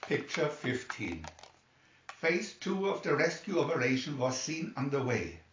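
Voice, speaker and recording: A man's voice: mid-range voice, very animated, measured pace, noisy background. A man reading a scripted adventure story aloud as a narrator. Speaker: amateur reader of a children's story booklet. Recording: dull, roomy, distant microphone.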